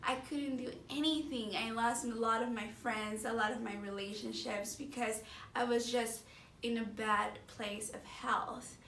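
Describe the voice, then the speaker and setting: A woman's voice: a little high, fairly even intonation, a little slow, a bit bright. A woman of thirty-two from Mexico City and the Bay Area, giving an earnest, unscripted personal testimonial in a conversational, emphatic way. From born in Mexico City, grew up in the San Francisco Bay Area. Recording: echoey room, distant mic, faint noise.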